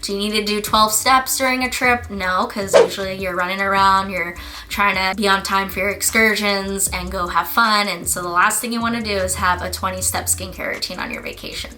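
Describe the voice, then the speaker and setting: A woman esthetician hosting a casual skincare vlog, her voice a little raspy from a lingering cough, chatting through her routine with fillers. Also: mid-range voice, fairly even intonation, fairly quick, over music. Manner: chatty, explaining step by step with fillers. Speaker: licensed esthetician and beauty channel host. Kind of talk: casual product-review vlog.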